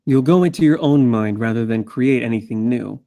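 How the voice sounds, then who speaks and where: A man reading a grave, protective male character at a screenplay table read, measured and understated. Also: mid-range voice, mellow, lightly acted. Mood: grave, reassuring. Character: grave, protective male Rabbit, steady and reassuring.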